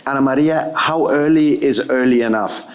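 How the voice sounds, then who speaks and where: A man on a conference panel answering audience questions about mentoring, thoughtful and halting with frequent restarts. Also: mid-range voice. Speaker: panel speaker, mentoring expert.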